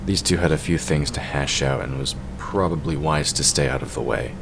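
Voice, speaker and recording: A man's voice: a bass voice, a little fast, gravelly. A man reading scripted fantasy story narration for an audio drama. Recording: noisy hobby recording.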